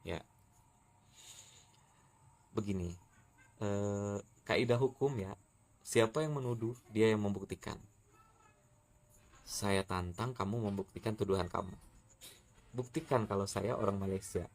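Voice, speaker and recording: A man's voice: fairly low pitch, a little slow, pauses often. A man from West Sumatra firmly scolding and challenging a critic in a heated monologue. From West Sumatra, Indonesia. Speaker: TikTok creator answering a critic.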